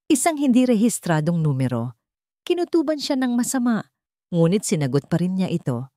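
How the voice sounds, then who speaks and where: A woman's voice: medium pitch, very animated, normal speed, warm tone, no room sound, studio quality. A woman narrating a scripted dramatic story as its storyteller.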